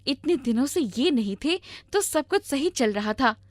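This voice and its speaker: A woman's voice: high-pitched, lively intonation, moderate pace, voice pushed up. A woman voicing a scheming, resentful wife in a story, with a complaining, dismissive manner. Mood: resentful grumbling. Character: scheming, resentful young wife who lies and grumbles. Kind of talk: animated storybook dialogue.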